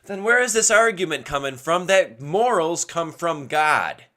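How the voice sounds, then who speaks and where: Animated man with a strained, bright voice. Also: fairly high pitch, a little slow. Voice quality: strained voice from yelling. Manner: emphatic, asking and answering own rhetorical questions. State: losing his voice. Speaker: podcast host. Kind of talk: opinionated casual solo podcast monologue.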